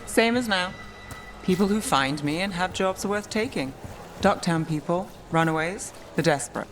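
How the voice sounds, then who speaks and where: An actress playing a cool, self-reliant street investigator in a fantasy video game. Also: female voice, fairly low pitch, animated, moderate pace, slight background noise, close to an everyday voice. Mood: cool, matter-of-fact.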